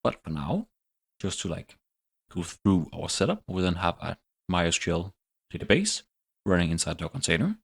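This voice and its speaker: A man hosting a programming channel, walking through his project in a relaxed, unscripted tutorial and thinking aloud with many fillers. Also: deep voice, normal speed, vocal fry, slightly rough. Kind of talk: casual screen-recorded coding tutorial.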